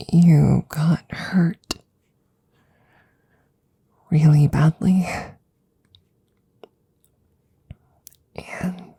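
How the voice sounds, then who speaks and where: A woman playing an anxious, devoted scientist in an ASMR audio roleplay, speaking in a breathy, gravelly, intimate near-whisper with halting stammers. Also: low-pitched, mellow, exaggerated voice. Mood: hesitant, worried. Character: anxious, devoted female scientist tending her best friend.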